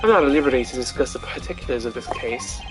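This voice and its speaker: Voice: medium pitch, lively intonation, fast talker, close to an everyday voice. Streamer doing a cool male prosecutor over music. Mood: cool, firm. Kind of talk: amateur voice acting of a visual novel game.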